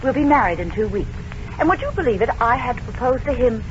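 An arch, teasing society fiancée played by a woman takes charge in a high, theatrical voice. She is assertive and possessive, in old radio-drama style. The sound is dull and noisy. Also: animated, fast, lightly acted. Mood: playful, triumphant. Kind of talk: 1940s radio drama acting.

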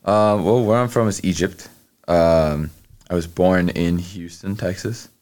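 Young guy being interviewed, super deep voice, lots of um and like. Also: a little mellow. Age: young adult. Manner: halting, many fillers and restarts. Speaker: guest, college athlete. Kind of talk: casual interview answers.

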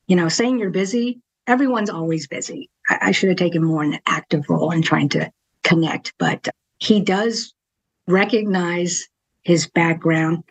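A woman's voice: fairly low pitch, husky, low-quality recording. A middle-aged woman from Texas, a TV news anchor, talking candidly as a podcast guest, laughing often and tagging "right?" onto her points. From Texas, USA. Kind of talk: casual interview conversation.

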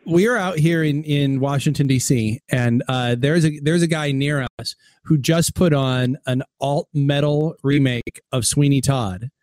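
A man's voice: medium pitch, measured pace, few pauses, darkish tone, dry recording. A man hosting a casual interview, talking in a wry, chatty way with rambling questions. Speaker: interviewer, host.

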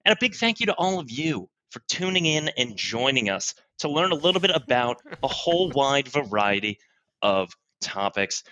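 A man's voice: mid-range voice, very animated, moderate pace, bright, forceful tone. A man speaking as a comedic podcast guest, improvising polished, emphatic corporate training patter in the manner of a presenter.

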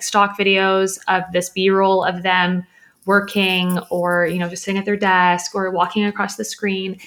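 A woman's voice: mid-range voice, a bit bright. A woman with a mid-range voice chatting as a podcast guest. She talks freely and casually and fills her sentences with "like" as she explains her work. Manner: chatty, heavy use of "like". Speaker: social media business founder.

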